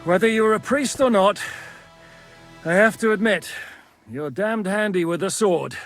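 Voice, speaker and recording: An actor playing a brisk, rough-tongued medieval rebel officer in a video game, blunt and authoritative. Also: male voice, high-pitched, a bit bright, soft background music. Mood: frank, grim. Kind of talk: video game cutscene acting.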